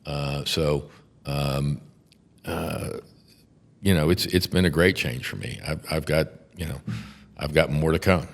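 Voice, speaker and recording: Older Texan defense-lawyer type, gravelly and very low, halting and profane; long reverb. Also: male voice. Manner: blunt, profane, halting restarts. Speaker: podcast host, defense attorney. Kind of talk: casual podcast chat.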